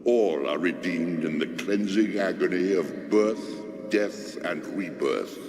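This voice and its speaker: A man's voice: low voice, slow, over music. An actor playing a vast, ancient, pitiless male god in a video game, sermonizing in a pompous, solemn manner. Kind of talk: dramatic video game acting. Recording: echoing cavernous reverb, clearly noisy ambience.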